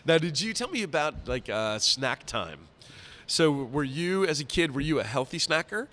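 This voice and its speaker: A man with a raspy, nasally congested voice, chatting casually as a podcast host. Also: fairly high pitch, big pitch swings, moderate pace, fairly bright tone. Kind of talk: casual interview chat. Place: conference center during a busy event. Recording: live at a busy event venue.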